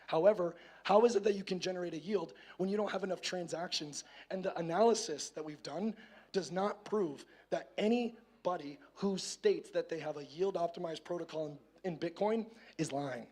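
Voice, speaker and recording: A man with a hoarse, somewhat high voice talks from a conference main stage. He speaks casually and often trips over and repeats the first words of a phrase. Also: moderate pace, darkish tone. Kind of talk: tech conference presentation.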